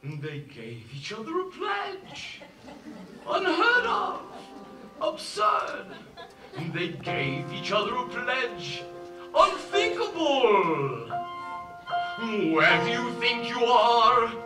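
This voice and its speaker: Bossy old village papa in a movie musical, big swoopy exclamations, sarcastic, distant mic with music behind. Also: male voice, very high-pitched, big pitch swings, slow, exaggerated voice. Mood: outraged. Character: domineering old village father, exasperated yet soft-hearted. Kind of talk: musical film acting. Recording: echoey film soundtrack, distant, music behind.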